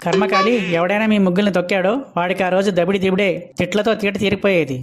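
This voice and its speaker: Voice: medium pitch, steady tone, fast, background noise, natural voice. An actor voicing a cocky, chatty young rooster who hosts festival interviews in a comic Telugu cartoon, boastful and slangy.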